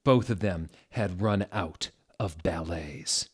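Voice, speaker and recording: Man, lowish voice, very unhurried pace, theatrical comic narration, mock-serious, deliberate. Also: husky. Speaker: reader of fan fiction. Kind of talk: dramatic comic reading, scripted narration.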